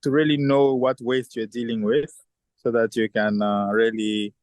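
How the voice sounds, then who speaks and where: A man speaking in a middle pitch, explaining things at a steady, thoughtful pace and often circling back to restart a phrase. His voice sounds dry and close, with no room echo behind it. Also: normal speed. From southern Africa. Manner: thoughtful, frequent restarts and fillers. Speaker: chemical engineer and company founder. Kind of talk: interview answers.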